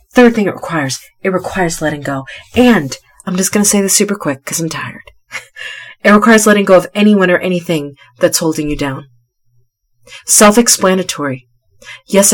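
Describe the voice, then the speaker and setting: A middle-aged woman hosting a motivational podcast, tired and a little stuffy, who talks emphatically and repeats key phrases. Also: fairly low pitch. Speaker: podcast host. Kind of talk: motivational solo podcast talk.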